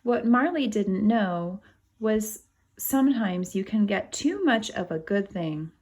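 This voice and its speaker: A woman reading a children's picture book aloud as a teacher, pausing to explain things and ask the children questions. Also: mid-range voice, lively intonation, a little mellow. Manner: explains to young children, asks them questions. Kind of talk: animated storybook read-aloud with asides to children.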